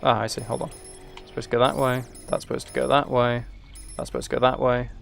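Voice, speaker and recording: A man's voice: medium pitch, flat delivery, quick pace, vocal fry, fairly bright tone, faint music behind. A man giving casual, playful gameplay commentary, often talking to himself. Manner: playful, talks and sings to himself. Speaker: game streamer.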